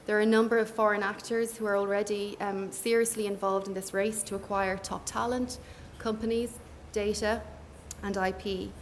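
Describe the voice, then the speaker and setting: Soft-spoken Irish woman moderating. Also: mid-range voice, flat delivery, normal speed, slight background noise. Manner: soft-spoken, hesitant with many fillers. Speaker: panel moderator. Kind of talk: conference panel moderation. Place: conference hall.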